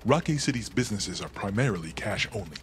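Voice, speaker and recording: An actor playing a composed heist planner in a video game, speaking in formal, clipped, measured phrases. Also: male voice, on the low side, animated, slight background noise, lightly acted. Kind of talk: video game cutscene acting.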